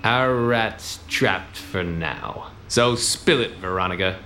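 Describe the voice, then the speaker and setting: An actor playing a cocky, bossy 1920s private detective in a noir radio comedy, with clipped wisecracking delivery. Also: male voice, fairly low pitch, slow pace, pushed and bright, slight background noise. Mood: cocky, scolding.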